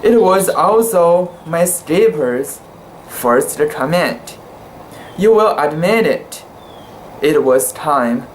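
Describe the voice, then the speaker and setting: Slow, halting amateur story reader. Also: mid-range voice, expressive, slight background noise, low-quality recording. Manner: halting, careful word-by-word delivery with stumbles. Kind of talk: dramatic reading of a literary short story.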